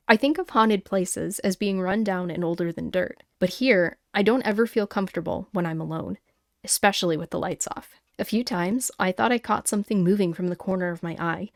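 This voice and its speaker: A woman podcast host reading listeners' stories aloud in a casual, conversational way with animated personal asides. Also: mid-range voice, no echo, clean studio sound. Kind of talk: casual chatty storytelling with read-aloud emails and asides.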